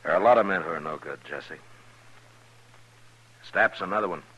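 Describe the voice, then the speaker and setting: An actor playing a stern, steady American marshal of the Old West in a 1950s radio western, terse and authoritative. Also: male voice, deep voice, normal speed, slightly rough, highs cut off. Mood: calm, persuasive. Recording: noisy old radio drama recording.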